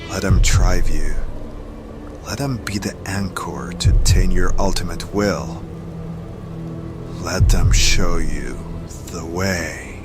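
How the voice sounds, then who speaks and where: An actor playing a solemn, darkly assured mystical mentor in a fantasy audio drama, speaking with measured, commanding gravity. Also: male voice, deep voice. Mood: commanding, intense. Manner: measured, portentous, incantatory. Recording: music under the voice.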